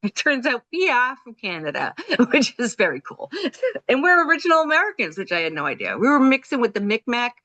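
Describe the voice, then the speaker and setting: Bright, eager woman hosting a chat. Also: a little high, sing-song, brisk, a little hiss or hum. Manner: enthusiastic, laughing often, many fillers. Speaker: host. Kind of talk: casual chat.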